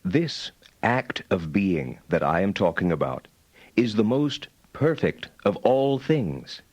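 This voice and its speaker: An actor playing a solemn medieval philosopher-theologian in an audiobook, reciting his doctrine in a measured, declamatory manner. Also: lowish voice, sing-song, a little mellow, no echo, heavily acted. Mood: solemn, assured. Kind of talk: dramatized reading of philosophical writings.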